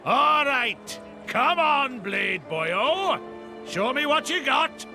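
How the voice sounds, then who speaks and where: A swaggering, brash bully in a video game. He taunts slowly in a very high, strained-up pitch, while music plays clearly behind him. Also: sing-song, full character voice. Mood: cocky. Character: cocky, bullying male challenger, swaggering and brash. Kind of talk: video game cutscene acting.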